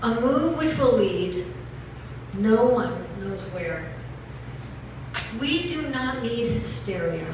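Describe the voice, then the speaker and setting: Slow, solemn woman reading as a labor orator. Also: on the high side, lively intonation, distant mic. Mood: solemn, resolute. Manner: solemn, deliberate, declamatory. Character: resolute labor editorialist proclaiming a general strike. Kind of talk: dramatic reading of a historical labor editorial. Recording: echoey room from afar, clear noise.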